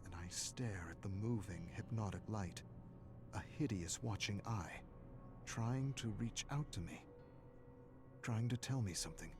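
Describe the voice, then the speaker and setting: An actor playing a weary, brooding scholar trapped in an otherworld, narrating video game journal entries in a measured, solemn, formal manner. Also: male voice, lowish voice, close to an everyday voice. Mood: haunted, yearning. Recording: music behind the voice.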